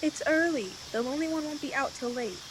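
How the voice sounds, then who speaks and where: A woman's voice: high voice, quick pace, background noise, close to an everyday voice. A woman playing a resolute young woman in a radio play, firm and urgent as she steadies others. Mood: urgent, brisk. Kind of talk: 1940s-style radio play acting.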